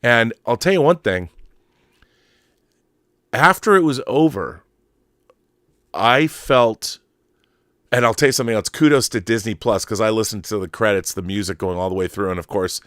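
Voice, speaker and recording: A man's voice: on the low side, normal speed, pauses often, fairly bright tone, dry recording, clean studio sound. A middle-aged man giving casual, rambling opinion commentary in an emphatic, conversational way, often digressing. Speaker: host of a film and book commentary show.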